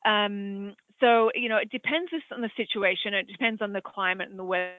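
An Australian man with a high voice speaks over a tinny, phone-like line. The sound is dry and close. He answers questions as a horse vet, wandering through his points with plenty of hesitant fillers. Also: fairly even intonation, brisk, no echo. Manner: rambling, hesitant, many fillers. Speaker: equine veterinarian. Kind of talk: expert answering audience questions.

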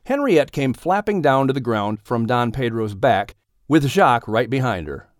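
A man narrating a children's audiobook story in an expressive, scripted storytelling style. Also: medium pitch, normal speed, dry recording, clean studio sound.

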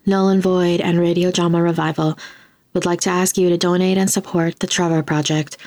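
A woman's voice: lowish voice, level tone, a little fast, darkish tone, no room sound. A woman reading scripted narration as a podcast announcer.

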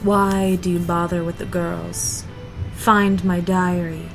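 A woman's voice: lowish voice, flat delivery, slow. A woman playing the insistent, tormenting ghost of a dead woman in an audio drama. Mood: commanding. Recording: music under the voice.

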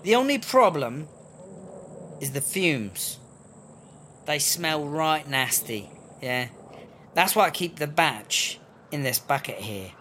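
A man's voice: a little high, very animated, takes it slowly, long pauses, pushed and bright, a little hiss or hum, exaggerated voice. A man playing a crafty, foul-mouthed female prison wine brewer with a British accent, chummy and wheedling, in a comedy audio drama. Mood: chatty, sly.

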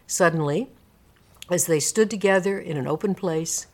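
A woman narrating a classic story in a live dramatic reading, with measured, theatrical phrasing. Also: on the low side.

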